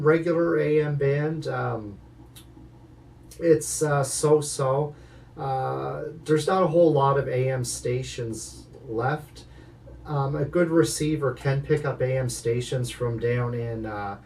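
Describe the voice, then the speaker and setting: A man's voice: mid-range voice, darkish tone, recorded from a distance. A man giving a casual, unscripted product review in a hesitant, rambling way. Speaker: video maker reviewing a radio. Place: inside an apartment.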